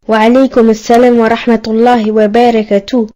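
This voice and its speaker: Cartoon boy, played by a woman: dutiful son, gentle, formal and courteous, highish mellow voice. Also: little pitch movement, brisk, close to an everyday voice. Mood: courteous, apologetic. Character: devoted, gentle, courteous young son and friend. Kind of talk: didactic cartoon dialogue.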